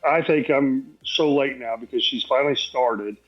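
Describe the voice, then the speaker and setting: A man's voice: mid-range voice, normal speed. Man telling anecdotes, dull lo-fi sound. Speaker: podcast guest. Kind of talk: casual anecdotal storytelling. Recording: dull lo-fi sound, faint music.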